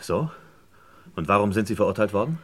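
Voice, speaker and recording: A guarded, proud man in a radio crime drama. His voice is deep. He speaks tersely and questions with scepticism, ready to bristle in indignation. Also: animated, brisk, fairly bright tone, breathy edge. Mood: sceptical. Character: guarded, indignant man defending his dead father's honour.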